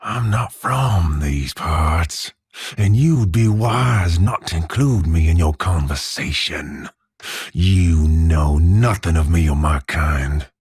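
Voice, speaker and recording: A man voicing a menacing, sardonic gunslinger villain in a video game, husky and taunting. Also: sits low, takes it slowly, a little mellow, dry recording, studio quality, exaggerated voice. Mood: cold menace. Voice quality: husky, raspy. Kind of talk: dramatic video game cutscene acting.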